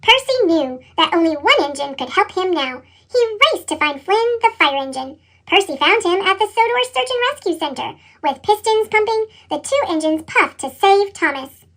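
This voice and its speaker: A woman's voice: very high voice, lively intonation, normal speed, fairly bright tone. A woman reads a children's story expressively, her voice altered with a sped-up chipmunk effect. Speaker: storybook reader.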